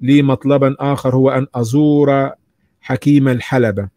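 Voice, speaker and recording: A man's voice: mid-range voice, steady tone, moderate pace, dark tone, no room sound, close to an everyday voice. Curious egyptian traveller guy in an audiobook, read by a man, thoughtful, formal, dry recording. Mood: resolute. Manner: formal, inquisitive. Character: curious, thoughtful male traveller seeking wisdom. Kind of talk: literary story reading.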